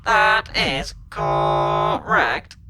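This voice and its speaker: A man voicing a converted cyborg, a cold metal giant speaking in measured, mechanical phrases in a sci-fi audiobook. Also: high voice, pitched above the performer's own voice, heavily acted. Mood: cold, commanding. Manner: slow, measured, monotone delivery of stilted phrases. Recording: music behind the voice.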